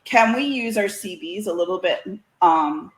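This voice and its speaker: A woman's voice: fairly low pitch, expressive, normal speed. A woman in casual conversation as an interview guest, chatty and folksy, often breaking into laughter. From Canada. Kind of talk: casual chat. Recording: roomy, echoey sound.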